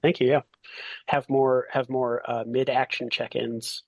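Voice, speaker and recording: A man's voice: medium pitch, little pitch movement, unhurried, creaky, a little mellow, no echo, highs cut off. A man bantering casually as a podcast co-host and game master.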